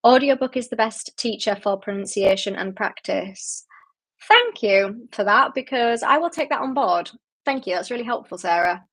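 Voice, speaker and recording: A woman's voice: a little high, moderate pace, fairly bright tone. A woman hosting an online book club, chatting casually and reading out viewer comments with lots of laughs and fillers, sounding tired late in the evening. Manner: chatty and giggly, with frequent fillers.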